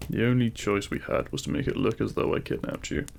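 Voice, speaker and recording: A man voicing a devoted, teasing demon king in an intimate romantic audio roleplay, speaking tenderly in courtly, formal phrasing with a gravelly voice. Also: lowish voice, expressive, a little fast, slight background noise. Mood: protective, tender.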